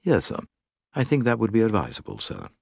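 A man voices an English manservant in an audiobook, respectful and unflappable. The lines rise and dip widely in pitch, with a creaky grain. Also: huge pitch swings, brisk, darkish tone, dry recording, clean studio sound, heavily acted. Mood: deferential. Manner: deferential, polite.

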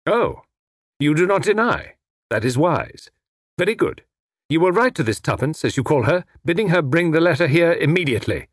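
A man's voice: a little high, big pitch swings, moderate pace, dry recording, clean studio sound, pitched above the performer's own voice. A man voicing a suave, menacing, well-educated foreign spy who is formal and mockingly polite in a story. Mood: impatient, threatening. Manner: mockingly polite, formal, snarling when crossed.

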